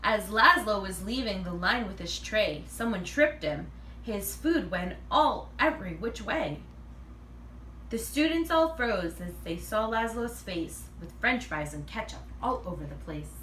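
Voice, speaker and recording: A woman reads a children's picture book aloud in an expressive, animated way, acting out the dialogue. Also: medium pitch, measured pace. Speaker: read-aloud reader. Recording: roomy, distant mic, faint noise.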